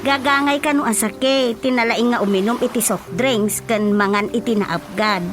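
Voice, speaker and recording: A woman's voice: fairly high pitch, normal speed, noisy background, close to an everyday voice. An actress playing a plain-spoken, complaining village woman in a radio comedy drama, with an Ilocano Filipino accent. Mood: chatty, complaining.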